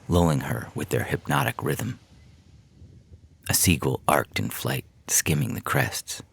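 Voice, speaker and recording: A man's voice: extremely low pitch, creaky, dry recording. A man reading scripted fiction aloud in a dramatic narration style, as a podcast story reader. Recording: music bed behind the voice. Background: music.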